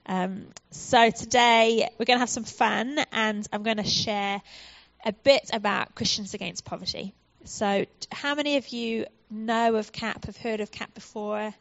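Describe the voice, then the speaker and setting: A woman's voice: a little high, a little slow, vocal fry, a bit bright, dry recording, muffled recording. A woman from the United Kingdom with a British accent, a charity guest speaker giving a friendly, earnest church talk with frequent ums.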